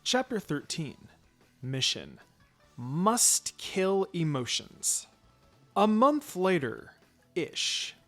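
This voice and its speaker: A man reading a first-person story aloud in an expressive, playful way. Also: high-pitched, drawn-out words, faint music behind. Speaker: fan fiction reader.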